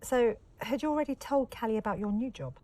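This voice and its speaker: An actress playing a probing female interviewer asking soft-spoken questions in a game cutscene. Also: fairly high pitch, expressive, fast talker, no echo, close to an everyday voice. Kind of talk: documentary-style interview questions.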